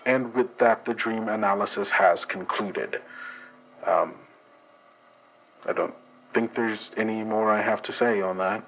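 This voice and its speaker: A man calmly narrating an in-character dream investigation report in a measured way. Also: lowish voice, creaky, a little hiss or hum, poor audio.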